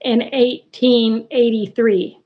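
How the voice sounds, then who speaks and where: A woman in her sixties, a guest on a casual livestream chat, talking in an unhurried, rambling way. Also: a little high, fairly even intonation, slight background noise. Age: 60s. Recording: dull, lo-fi call audio.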